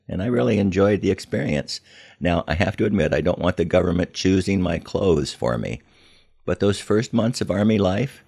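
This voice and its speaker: A man's voice: low-pitched, gravelly, a little mellow, slightly rough. An older man hosting a podcast, telling personal stories in a conversational way with wry, self-deprecating humor. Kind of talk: conversational first-person storytelling.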